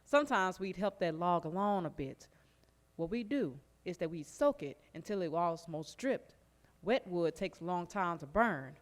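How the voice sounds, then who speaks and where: A woman playing a lively, sly plantation servant who reminisces in an animated, folksy stage monologue, as if sharing secrets. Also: on the low side, moderate pace, natural voice. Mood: sly, gleeful. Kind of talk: theatrical folk storytelling monologue on stage. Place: live stage performance in a recreation hall.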